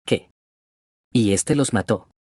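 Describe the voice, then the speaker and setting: Man acting husky, blunt Argentine policeman. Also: lowish voice, slow, darkish tone, no echo, studio quality. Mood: startled. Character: questioning male police officer, blunt and puzzled. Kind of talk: radio drama acting.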